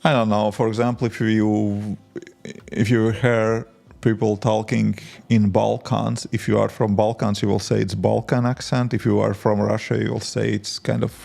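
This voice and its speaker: A man in his forties from Latvia with a Latvian accent, chatting casually with viewers while coding and answering their questions with halting restarts. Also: fairly low pitch, level tone, creaky, darkish tone. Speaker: indie app developer streaming. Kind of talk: casual live-stream chat while coding.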